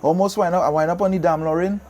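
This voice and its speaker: A man's voice: high-pitched, steady tone, fast, a little mellow. A man with a Trinidadian accent chatting casually as an interview guest, emphatic and repeating phrases to drive his points home. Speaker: guest, radio DJ and soca artist.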